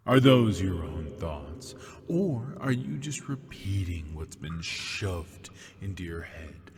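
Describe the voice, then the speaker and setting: A cruel, smug demon taunting his captor in a deep, husky half-whisper, performed by a man in an ASMR roleplay. He drawls slowly and mockingly, with long reverb trailing each word. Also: very animated, darkish tone, heavily acted. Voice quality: breathy half-whispered delivery. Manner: mocking, slow and seductive.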